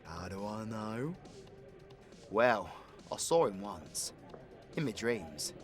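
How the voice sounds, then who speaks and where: Supervillain for audio roleplay, played by a man: British, crude, theatrical, menacing, unhurried delivery with big swings in pitch. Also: big pitch swings, soft background music. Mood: intrigued, confiding. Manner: swaggering, crude, theatrical, dropping into menacing whispers. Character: cocky, foul-mouthed male supervillain with dark magic. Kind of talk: ASMR audio roleplay acting.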